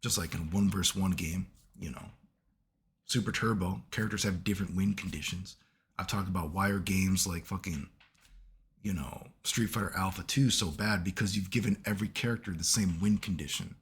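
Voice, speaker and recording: A man, hoarse from being sick, giving casual analytical commentary as a video host and checking in with "right?" at nearly every turn. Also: low-pitched, brisk, slight background noise. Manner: keeps asking "right?" as he lectures, swears casually.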